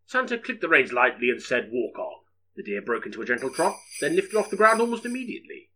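A man's voice: a little high, very animated, fast talker, sharp tone. A man narrating an audiobook story in a dramatic, scripted storytelling style.

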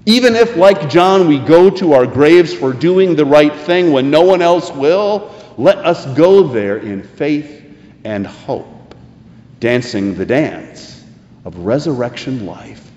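An American man preaching a sermon in an earnest, deliberate way, leaning on key phrases. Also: fairly high pitch, expressive, a little mellow, dull audio. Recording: echoey hall with long reverb.

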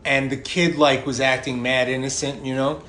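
A man's voice: medium pitch, little pitch movement, moderate pace, fairly bright tone. Man in his thirties over noisy ambience. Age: 30s. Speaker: podcast co-host. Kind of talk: casual chat and personal storytelling. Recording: clearly noisy background ambience.

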